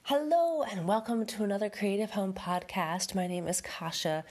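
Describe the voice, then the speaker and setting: A woman's voice: mid-range voice, moderate pace, a little mellow. A middle-aged woman hosting a home decorating podcast, chatting casually with lots of fillers while stuffed up from a head cold. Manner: chatty, rambling, many fillers. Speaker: podcast host, home stager. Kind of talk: casual solo podcast talk.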